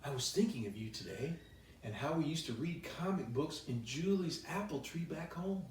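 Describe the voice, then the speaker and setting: Animated man reading aloud, echoey and distant. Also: fairly high pitch, moderate pace, slight background noise. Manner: playful, expressive, with chatty asides. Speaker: children's author reading his own book. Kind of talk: animated bedtime story read-aloud. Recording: roomy echo, distant microphone.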